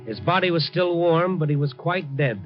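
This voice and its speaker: An actor with an American accent playing a wry, streetwise reporter, narrating in punchy 1950s radio drama style. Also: male voice, a little high, expressive, moderate pace, soft background music, lightly acted. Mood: tense narration. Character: brisk, wry, streetwise male newspaper reporter. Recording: muffled vintage 1950 radio recording.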